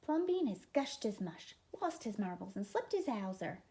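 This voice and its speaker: A woman's voice: mid-range voice, sing-song, normal speed, dark tone. A woman voicing scandalized, fussy storybook neighbors who complain indignantly about keeping their street tidy. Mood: scornful.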